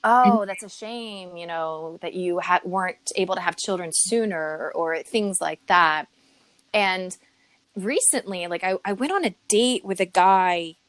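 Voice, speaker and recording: A woman's voice: on the low side, measured pace, no echo. A woman in her forties telling dating stories in a casual chat, full of likes and ums. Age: 40s. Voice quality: chatty storyteller, many filler likes and ums. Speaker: guest.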